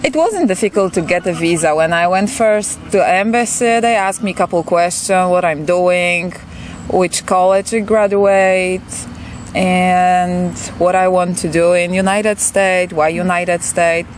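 A young woman from Poland with a Polish accent, a farm intern giving brisk, matter-of-fact interview answers outdoors. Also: lowish voice, slight background noise.

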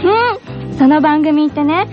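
A woman playing a cheerful, forthright high school girl in a radio drama. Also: animated, slow pace. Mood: friendly. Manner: chirpy, friendly, girlish. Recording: dull radio recording with music.

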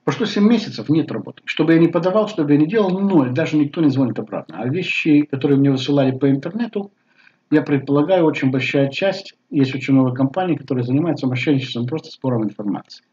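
A man in his sixties with a Bulgarian accent, talking casually to camera at home, halting with many fillers. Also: on the high side, lively intonation, a little mellow. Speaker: vlogger. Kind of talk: casual personal talk to camera. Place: living room at home. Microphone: webcam at desk. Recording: slightly dull webcam audio.

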